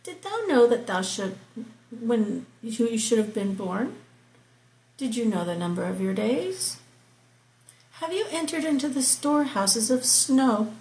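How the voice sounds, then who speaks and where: A woman reading scripture aloud slowly and explaining it, stopping for casual asides and soft whispered remarks. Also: fairly high pitch, sing-song, echoey room, rough recording. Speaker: Bible reading host. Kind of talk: slow scripture reading with commentary.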